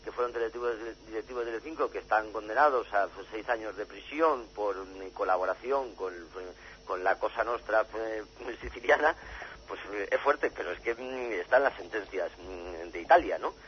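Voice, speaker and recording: Muffled halting male interview guest. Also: on the low side. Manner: halting, many fillers and restarts, then rushes ahead. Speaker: journalist and author being interviewed. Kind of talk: radio interview answers. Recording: muffled lo-fi radio audio with faint hum.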